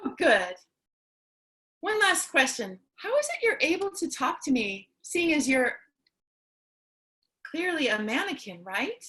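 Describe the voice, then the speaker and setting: Earnest, expressive woman survivor for a staged reading, played by an actress; high-pitched, excitable, eager to please. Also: unhurried, long pauses, heavily acted. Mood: gushing, excited. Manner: gushing, apologetic, expressive.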